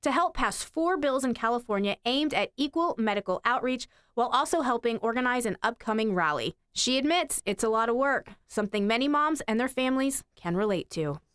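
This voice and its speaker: A woman with a fairly high voice works as a news anchor. She delivers reports and studio conversation in a broadcast style. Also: animated, a little fast, hardly any pauses, a bit bright, clear, resonant tone.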